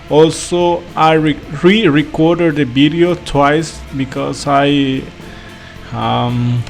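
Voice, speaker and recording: Salvadoran guy vlogging in his second language, slow and halting, gravelly, soft music behind. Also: mid-range voice. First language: Spanish. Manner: hesitant, many fillers and restarts, apologetic. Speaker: travel vlogger. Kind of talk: casual spoken review.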